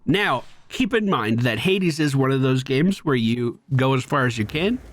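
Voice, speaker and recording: A man's voice: medium pitch, animated, moderate pace, no echo. A man streaming a video game with casual, chatty commentary, explaining things with playful slang.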